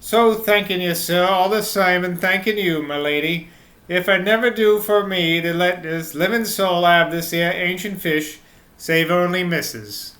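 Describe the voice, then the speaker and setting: Old rustic fisherman played by a man, drawling, bright tone. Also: sits high, measured pace, roomy sound, voice pushed up. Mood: earnest. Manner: drawling, repeats "sir" constantly. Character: old male rustic fisherman, stubborn and fussy.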